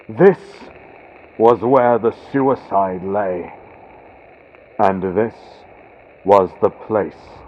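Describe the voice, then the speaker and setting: A man narrating a gothic horror story theatrically and deliberately, moving between hushed and loud delivery, with a vintage radio sound from a built-in computer microphone. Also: on the low side. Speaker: audiobook narrator. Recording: tinny phone-like built-in computer mic, noisy. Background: faint storm-like ambient sound effects.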